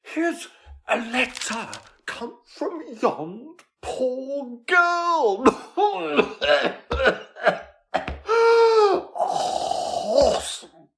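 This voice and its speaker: A woman playing an ailing, wheezy comic go-between, halting and querulous, in a Shakespearean audio drama. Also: high-pitched, very animated, full character voice. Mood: querulous, self-pitying.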